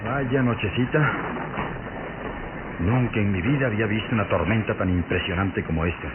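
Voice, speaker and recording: Man acting a low-voiced, stern police inspector. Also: normal speed, close to an everyday voice. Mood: grumbling, resolute. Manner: emphatic, theatrical. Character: stern, determined police inspector, gruffly commanding. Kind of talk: radio drama acting. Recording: noisy, tinny old radio drama recording.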